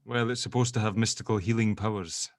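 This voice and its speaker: A man playing a protective middle-aged dad in an audio drama table read, chatty, hesitant and gently awkward. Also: on the low side, fairly even intonation, fast talker, no echo, lightly acted. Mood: chatty, teasing.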